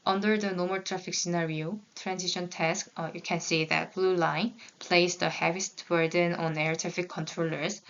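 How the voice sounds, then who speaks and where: A woman's voice: fairly low pitch, fairly bright tone, clear, resonant tone. A woman whose first language is Korean, presenting research results in English, reading carefully with small halts and restarts, on a slightly dull recording. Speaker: graduate student presenting research.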